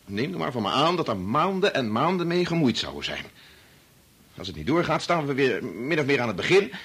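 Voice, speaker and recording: Husky, embittered researcher husband. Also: male voice, mid-range voice, big pitch swings, fairly quick, fairly bright tone, full character voice. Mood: impatient. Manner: irritable, insistent, hesitating and repeating himself. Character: embittered, self-absorbed male medical researcher, agitated husband. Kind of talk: Dutch radio play acting.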